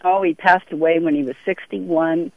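Very narrow phone sound, woman caller in her sixties, low-pitched for a woman, earnest, conversational. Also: steady tone, normal speed, no echo. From Europe. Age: 60s. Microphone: telephone. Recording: very tinny, band-limited phone line.

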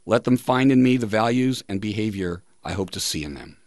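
Guy hosting a radio show, kinda deep voice, reads stuff out with pauses for emphasis, dry studio sound. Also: lively intonation, a little mellow, dry recording. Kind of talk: reading listener tributes and quotes on a talk show.

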